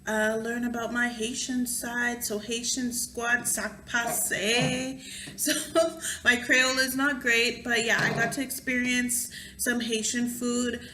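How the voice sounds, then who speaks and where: Slow-talking young woman with a bright voice. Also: fairly high pitch, little pitch movement, roomy sound, soft background music. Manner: candid, laughs often, acts out quotes. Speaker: vlogger. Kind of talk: storytime vlog.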